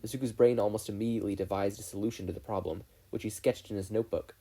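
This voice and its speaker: A narrator giving an expressive scripted reading of fan fiction. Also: mid-range voice, quick pace. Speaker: podfic narrator.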